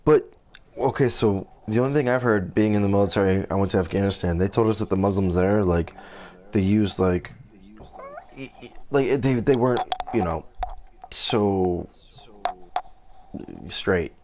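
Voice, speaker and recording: A man from the United States chats over voice chat during a team game. His voice sits a little low, and his talk is halting and casual, full of restarts. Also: darkish tone. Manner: halting, many restarts and fillers. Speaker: streamer playing an online team game. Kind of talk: casual voice chat while gaming.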